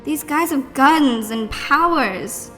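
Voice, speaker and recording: Teen heroine, played by a woman: plucky, anxious girl, high voice, audio drama, long hollow reverb. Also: takes it slowly, a bit bright, faint music behind. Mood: frightened, resolute. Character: plucky, anxious young teenage girl hero.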